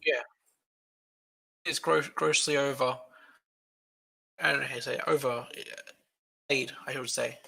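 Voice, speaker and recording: Gravelly, bright-toned Australian man. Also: medium pitch, fairly even intonation, unhurried, long pauses, rough recording. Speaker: podcast guest. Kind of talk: casual podcast chat.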